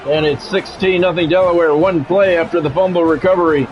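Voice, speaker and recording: A man's voice: fairly high pitch, steady tone, brisk, muffled recording, a little hiss or hum. A man streaming a sports game, mixing radio-style play-by-play with casual chat.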